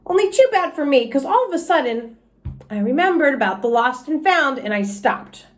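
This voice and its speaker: A woman reading a children's storybook as an excitable, stubborn little girl, with an emphatic, childlike way of talking. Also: sits high, moderate pace, soft background music. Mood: dismayed, sulky. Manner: childlike grammar, emphatic, self-justifying. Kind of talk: children's storybook read aloud.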